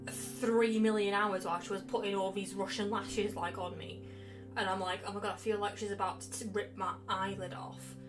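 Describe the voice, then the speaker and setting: A woman's voice: medium pitch, low-quality recording. A young woman streaming casually, rambling and sweary with a self-mocking streak. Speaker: game streamer. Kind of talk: casual chatty livestream. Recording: loud background music. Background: game music with guitar.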